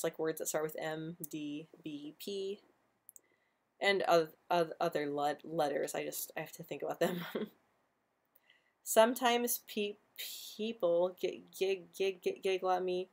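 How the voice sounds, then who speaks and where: Young woman host, low-pitched, stutters on sounds, relaxed live chat. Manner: stutters often, repeating sounds and words. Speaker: livestream host answering viewer questions. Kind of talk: casual live stream chat.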